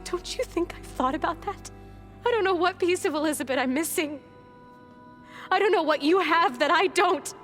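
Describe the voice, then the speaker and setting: A woman's voice: very high voice, normal speed, a bit bright. An actress playing an anxious, despairing young woman in a video game, pleading and emotionally raw. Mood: anguished. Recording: game dialogue over music.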